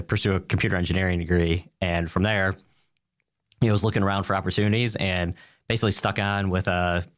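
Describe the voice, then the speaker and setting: An American man with a deep voice talks as an interview guest. His answers are casual and wandering, padded with small filler phrases. The sound is dry, with little room around it. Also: dry recording. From United States, upstate New York. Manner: rambling, peppered with "you know" and "like".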